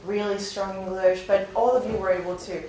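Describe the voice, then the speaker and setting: A woman's voice: medium pitch, fairly even intonation, a little fast, mellow. A woman in her thirties, born in Venezuela and raised in Spain, giving an upbeat, chatty recruiting presentation to a class of students and often asking them questions, recorded from a far camera. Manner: upbeat, chatty, asks the audience frequent questions. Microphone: far camera, no visible mic. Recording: very echoey classroom, faint noise.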